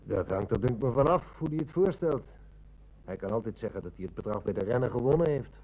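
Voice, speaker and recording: An actor playing a shrewd, methodical police inspector near retirement in a radio play, with measured, polite questioning. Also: male voice, medium pitch, lively intonation, dark tone, rough recording. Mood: polite, probing. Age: older. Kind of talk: Dutch radio play acting.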